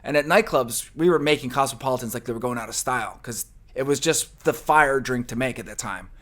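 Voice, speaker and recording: A man's voice: medium pitch, brisk, fairly bright tone. A man from New Jersey with a New Jersey accent, a guest on a podcast, chatting in a playful, loose way with fillers. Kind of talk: casual interview chat.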